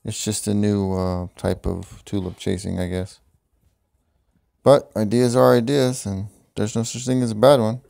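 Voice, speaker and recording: A man's voice: fairly low pitch, flat delivery, measured pace, vocal fry. A man talking off the cuff, rambling and swearing, with a voice-over over music. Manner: profane, rambling, laughs at own jokes. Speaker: vlogger. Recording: voice over loud background music.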